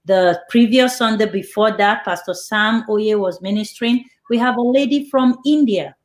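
A woman's voice: fairly high pitch, normal speed. A woman hosting an online church interview over a video call, reading out viewer questions and affirming answers. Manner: reads out viewer questions, warm laughs, affirming. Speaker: host.

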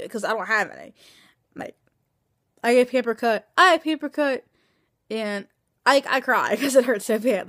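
Young woman, twenties, slightly high voice, sore and tired after surgery, rambling, halting. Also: fairly bright tone, dry recording, clean studio sound. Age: 20s. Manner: rambling, halting, many restarts. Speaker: vlogger. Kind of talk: casual video diary. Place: small room indoors at night. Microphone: phone camera held close.

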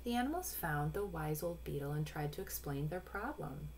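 Female storybook reader, forties, low voice, instructive asides, noisy home webcam sound. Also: expressive, a little mellow. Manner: instructive, addressing young children, pausing to point things out. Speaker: picture book read-aloud reader. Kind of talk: storybook read-aloud with explanatory asides. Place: small room at home.

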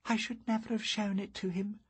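A man voicing a gentle, thoughtful young woman who speaks softly. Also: high-pitched, level tone, fairly quick, slightly rough, pitched above the performer's own voice. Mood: sorrowful. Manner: soft and hushed.

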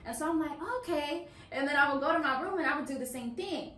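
A young woman from Washington, D.C. talks through her story in a lively, chatty way, with a fairly high voice. She sits off-mic in a small room, so her voice sounds roomy and distant. A faint hum sits behind her. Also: fast. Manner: animated storyteller, many "you know"s. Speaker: guest musician. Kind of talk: casual interview chat. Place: small office meeting room, indoors.

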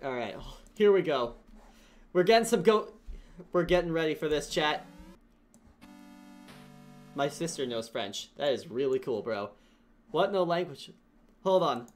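Slow-talking, giggly young streamer guy. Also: on the high side, lively intonation, soft background music. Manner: chatty, giggly, talks to chat. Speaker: VTuber streamer. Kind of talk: casual gameplay livestream chat.

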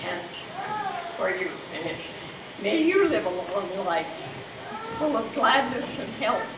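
A woman's voice: medium pitch, very animated, slow. An older woman with a handheld mic giving a toast at a birthday party, moved and stumbling for words. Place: church hall stage. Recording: echoey hall, far off, noisy.

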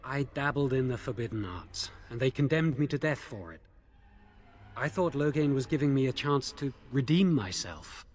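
A man's voice: mid-range voice, lively intonation, normal speed, natural voice. An actor playing an anxious, guilt-ridden young male mage in a fantasy video game, speaking in an earnest, defensive, pleading way. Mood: remorseful, explaining.

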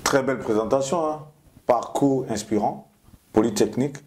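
A man, hosting an interview with friendly, encouraging questions on a lapel mic in a bright studio room. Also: medium pitch, moderate pace. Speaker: interview host. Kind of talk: talk show interviewing.

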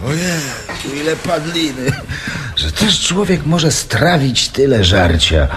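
A man's voice: medium pitch, very animated, slow, background noise. A man acting a hungry, hard-up poet in a radio comedy play. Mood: wry, amused. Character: hungry, hard-up would-be poet, old school friend.

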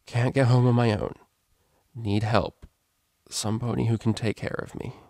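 A man's voice: medium pitch, level tone, moderate pace, darkish tone, dry recording, studio quality, natural voice. A man voicing a drunk, affectionate female unicorn DJ pony in a storybook reading, rambling and hesitant in informal speech. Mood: panicked.

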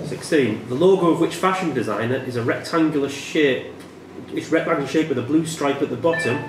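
A man reading out quiz questions as quiz master in a measured, deliberate way. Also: a little high. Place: indoor pub room. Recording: echoey pub room with some noise.

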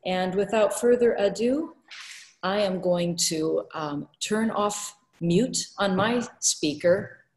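A woman's voice: mid-range voice, slow pace, a little mellow, low-quality recording. A middle-aged woman introducing performers as emcee of an online arts event. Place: renovated art studio, on video call.

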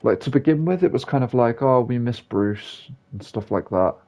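A man's voice: medium pitch, animated, normal speed, warm tone. A man giving casual, rambling game commentary as the host of a gaming video. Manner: rambling, offhand.

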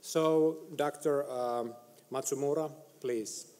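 A middle-aged man chairing a medical conference session, introducing speakers with many hesitant pauses. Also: medium pitch, fairly even intonation, dark tone. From Finland. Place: large conference hall stage. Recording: reverberant hall.

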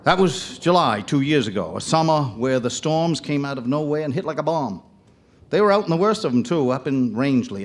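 A man's voice: mid-range voice, natural voice. A man playing a plainspoken small-town local, narrating a live audio drama in a folksy, colloquial way.

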